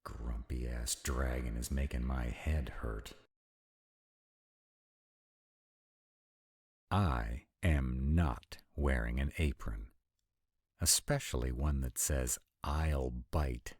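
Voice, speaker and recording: A man's voice: a bass voice, slow pace, a little mellow, slightly rough, no room sound, clean studio sound, exaggerated voice. A man playing a grumpy, sardonic vampire in a scripted roleplay audio drama, sighing and exasperated in his delivery. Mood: irritated. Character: grumpy male vampire mate, sardonic and proud.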